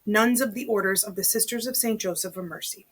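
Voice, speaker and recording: A woman reads a novel aloud in an informal, conversational way. Her voice is a little husky and sits at a medium pitch. She often drops out of the narration to comment directly to the listener. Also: brisk. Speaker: amateur read-aloud reader. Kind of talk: reading a novel aloud with chatty personal asides.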